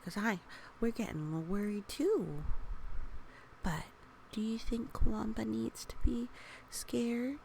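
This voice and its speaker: A woman reading a picture book aloud for young children, with gentle, expressive, chatty asides and questions. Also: fairly high pitch, measured pace, vocal fry, pauses often, slight background noise.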